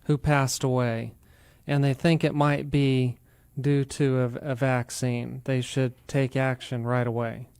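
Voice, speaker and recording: A man's voice: mid-range voice, level tone, a little slow, a little mellow, smooth, dry recording. A man hosting a radio talk show, asking questions and summing up for listeners. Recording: dry studio sound with music underneath.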